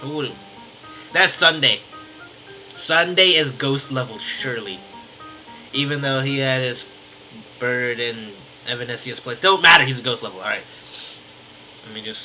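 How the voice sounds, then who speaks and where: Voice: medium pitch, moderate pace, long pauses, sharp tone, low-quality recording. A gaming creator of unclear sex giving casual reactions over gameplay, with wry, muttered asides. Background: game music.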